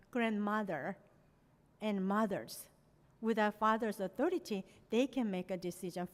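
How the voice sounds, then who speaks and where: A middle-aged woman from Korea, a native Korean speaker, giving an academic presentation with non-native phrasing and frequent "you know" fillers. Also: a little high. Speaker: lecturer. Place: lecture hall. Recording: long hall reverb.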